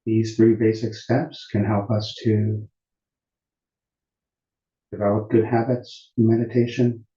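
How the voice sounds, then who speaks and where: Slow, dark-voiced man guiding meditation. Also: lowish voice. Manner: calm, unhurried, deliberate with long pauses. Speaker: meditation teacher. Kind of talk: guided meditation instruction at a retreat. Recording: muffled, roomy echoing sound.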